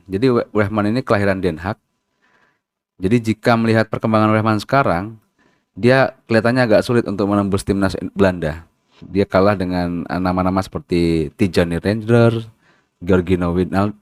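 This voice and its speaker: A man with a deep, low voice hosts a football channel in a loose, chatty way. He pads his talk with fillers and tag questions and trips over hard foreign names. The sound is close and dry, with no room around it. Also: dry recording. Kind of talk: casual spoken commentary.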